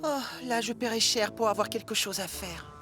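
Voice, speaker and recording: A woman's voice: mid-range voice, normal speed, very noisy, natural voice. A woman voicing a stubborn, impatient young male steam engine in a French-dubbed children's cartoon, with a childlike, exclamatory delivery. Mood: sulky.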